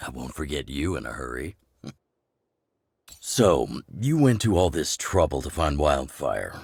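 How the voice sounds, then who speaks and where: A man voicing a gruff, wry older rebel chief in a video game, with a measured, sardonic delivery. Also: big pitch swings, darkish tone, no echo, clean studio sound, full character voice. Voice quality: deep, gravelly. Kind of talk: scripted video game voice acting. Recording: game music under the voice. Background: game music.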